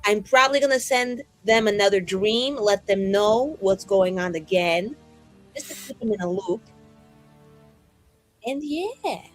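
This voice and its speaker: A woman's voice: medium pitch, measured pace. A woman in casual roleplay chat as a tabletop game player, with a flippant, rambling, slangy way of talking. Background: background music.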